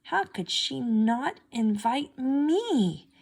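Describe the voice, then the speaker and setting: A woman's voice: sits high, big pitch swings, very slow, vocal fry, slightly rough, voice pushed up, heavily acted. A woman voicing a put-upon, resentful young girl from a storybook, speaking emphatically. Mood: hurt, indignant. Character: Cinderella, athletic girl, put-upon and resentful basketball fan.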